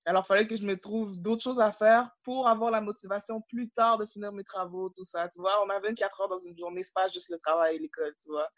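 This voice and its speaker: A woman's voice: medium pitch, fairly even intonation, a little fast, hardly any pauses, no echo, studio quality. A young woman with a Québécois French accent, a student guest speaking candidly on a video call. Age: young adult. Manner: candid, conversational, fillers like "tu sais". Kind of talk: casual interview over video call.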